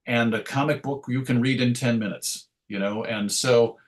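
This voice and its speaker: A man with a mid-pitched voice talks in a relaxed interview setting as the guest. He is thoughtful and anecdotal, often slipping into quoted asides to make a point. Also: normal speed. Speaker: interview guest, radio show host. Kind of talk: conversational interview.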